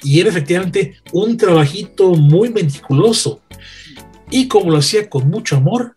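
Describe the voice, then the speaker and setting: A man's voice: high-pitched, lively intonation, normal speed, a little mellow, breathy edge, soft background music. A man, a radio veteran chatting and telling anecdotes emphatically, repeating phrases for effect. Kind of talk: animated anecdote-telling in a panel chat.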